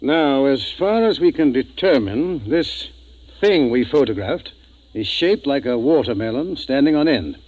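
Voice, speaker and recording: An actor playing an earnest, thoughtful ship's doctor who speaks deliberately and emphatically in a 1950s radio drama. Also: male voice, medium pitch, animated, darkish tone, dry recording, lo-fi sound. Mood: thoughtful, explaining.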